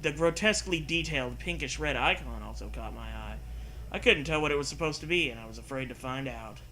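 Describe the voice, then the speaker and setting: A man's voice: mid-range voice, expressive, fairly quick, sharp tone. A man co-hosting a show, reading a story aloud in mock-serious style with sarcastic, profane asides.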